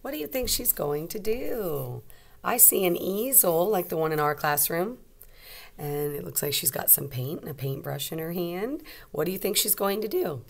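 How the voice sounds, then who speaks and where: Female teacher, slightly low register, children's read-aloud lesson, simple explanations and frequent questions. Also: lively intonation, moderate pace, creaky.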